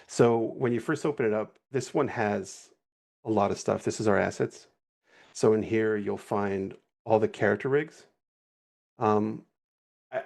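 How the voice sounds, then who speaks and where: Low gravelly guy, kinda husky, chill rambly livestream talk, keeps correcting himself. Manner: relaxed, rambling, self-correcting. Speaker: guest animator. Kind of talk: casual livestream walkthrough.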